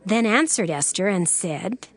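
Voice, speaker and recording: A woman narrating a dramatized audio Bible in a formal, measured storytelling manner. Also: mid-range voice, expressive.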